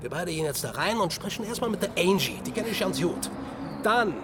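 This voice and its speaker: An actor playing a middle-aged ex-drummer hustler in a radio drama, chummy and bossy with colloquial talk. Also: male voice, sits high, expressive, a little fast, a loud crowd. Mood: bossy, brisk. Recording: loud music behind the voice.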